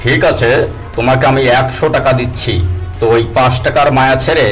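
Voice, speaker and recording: A man's voice: mid-range voice, fairly even intonation, brisk, fairly bright tone, soft background music, natural voice. An actor voicing a male king in a cartoon who commands and grows baffled, raising his offers. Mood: bargaining. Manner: commanding, incredulous. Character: generous, puzzled male king.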